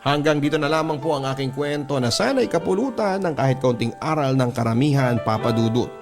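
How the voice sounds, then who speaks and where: A man's voice: mid-range voice, lively intonation, brisk. A man with a Filipino accent reading listener letters as a radio storyteller and host. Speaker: host and narrator of a story show. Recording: background music under the voice. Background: music.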